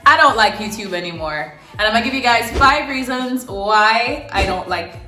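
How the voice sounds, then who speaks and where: A woman's voice: on the high side, expressive, normal speed, pushed and bright, soft background music. A young woman from Jamaica with a Jamaican accent, chatting casually to camera as a vlogger and repeating words for emphasis. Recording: roomy echo.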